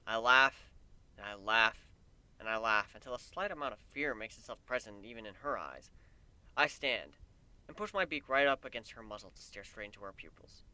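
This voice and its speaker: A man's voice: lowish voice, lively intonation, normal speed, bright, forceful tone, dry recording. A man reading first-person fan fiction aloud as dramatic scripted narration. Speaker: amateur story reader.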